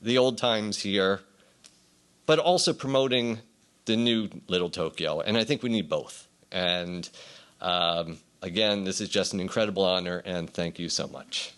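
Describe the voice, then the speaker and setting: A middle-aged or older man giving an informal acceptance speech as a writer, telling anecdotes conversationally with hesitant pauses. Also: low voice, a bit bright, clear, resonant tone.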